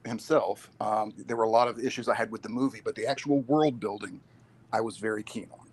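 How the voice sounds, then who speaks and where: A man's voice: on the low side, fairly even intonation, brisk, slightly rough. A man speaking as a podcast guest, giving opinions in casual geek chat.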